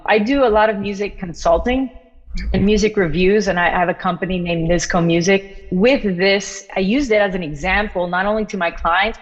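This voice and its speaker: A young adult woman, a drummer and composer, chatting on a video call from home, laughing often and quoting her own thoughts. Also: lowish voice. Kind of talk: casual chat on a video call. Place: room at home. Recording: echoey, dull video-call audio.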